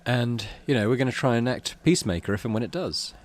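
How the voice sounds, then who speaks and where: A man's voice: mid-range voice, lively intonation, fast talker. A man doing casual game commentary, thinking aloud in a hesitant, rambling way. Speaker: video game let's player.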